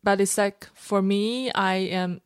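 A woman's voice: mid-range voice, fairly even intonation, slow, clean tone, no echo, clean studio sound. A woman comedian whose first language is Chinese, talking frankly and loosely in a podcast interview, with many filler words. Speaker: stand-up comedian guest. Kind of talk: casual interview talk. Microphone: podcast microphone.

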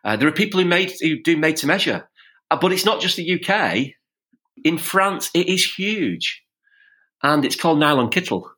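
A man being interviewed on a podcast, talking casually in a halting, hesitant way. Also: a little high, very animated, sharp tone. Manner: hesitant, halting, many restarts. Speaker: podcast guest.

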